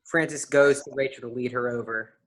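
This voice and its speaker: A narrator reads stage directions for a staged play reading. The voice sits at a middle pitch. The delivery is scripted, describing action between the actors' parts. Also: steady tone, moderate pace.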